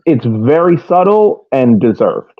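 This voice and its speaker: Lowish dude on a soccer podcast, sounds like a phone call, dry, kinda halting. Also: male voice, very animated, no echo. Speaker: sports podcast co-host. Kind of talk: casual fan chat about soccer. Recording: thin phone-like, radio-like call audio.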